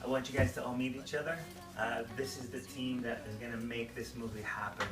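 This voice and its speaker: An earnest man speaking as an indie film director, thinking aloud with a halting delivery. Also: mid-range voice, level tone, low-quality recording. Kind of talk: interview answers and addressing a group. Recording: background guitar music. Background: music.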